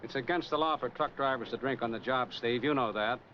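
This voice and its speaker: A man playing a skeptical, officious highway policeman in a 1940s film noir. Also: a little fast, close to an everyday voice. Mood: stern, needling. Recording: muffled, noisy 1940s film soundtrack.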